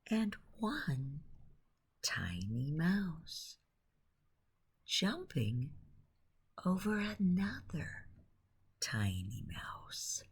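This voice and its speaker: A woman with a very deep, husky voice tells a bedtime story for children. She speaks in a hushed, soothing tone, often close to a whisper. Her phrases come extremely slowly, with long pauses between them. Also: wildly swooping pitch. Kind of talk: guided imagery bedtime storytelling.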